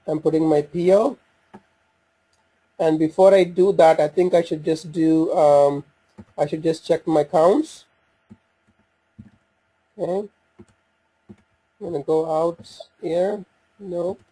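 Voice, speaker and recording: A man presenting an informal software walkthrough, talking haltingly as he thinks aloud while working through the screens. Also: on the high side, steady tone, husky, no echo.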